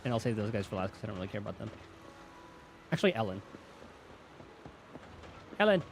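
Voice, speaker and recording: A man's voice: fairly low pitch, big pitch swings, brisk, a little hiss or hum. A man streaming a video game, giving casual commentary and reading dialogue aloud.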